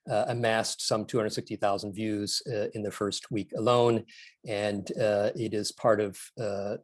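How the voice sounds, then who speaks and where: A man introducing panel speakers formally, filling pauses with "uh" and falling back on stock phrases. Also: fairly low pitch, a little mellow, no room sound. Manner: frequent "uh" fillers, repeated stock phrases.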